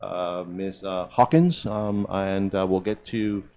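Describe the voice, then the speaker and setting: A man with a Cuban accent hosting a radio interview, switching between Spanish and English and pausing on fillers as he relays guests' words in Spanish. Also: low voice, steady tone, dark tone. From Cuban and Puerto Rican heritage. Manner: halting, many fillers and restarts. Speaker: radio host. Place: radio studio.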